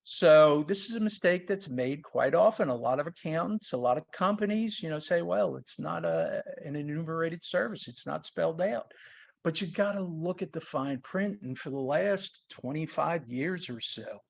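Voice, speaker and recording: A man's voice: a little high, animated, moderate pace, vocal fry. A man with a New York accent giving an informal explanatory webinar talk in a conversational, plain-spoken way. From New York, United States. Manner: conversational, plain-spoken, uses everyday examples. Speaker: sales tax consultant presenting.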